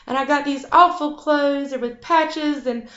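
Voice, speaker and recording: A woman's voice: sits high, unhurried, a bit bright. Woman putting on a weepy Cinderella. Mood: whiny. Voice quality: whiny, weepy. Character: sobbing, self-pitying young maiden. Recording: echoey, slightly dull recording.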